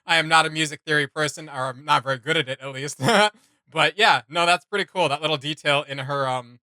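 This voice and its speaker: A man hosting a reaction video, chatting casually in an animated, self-deprecating way. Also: a little high, fairly quick, dry recording.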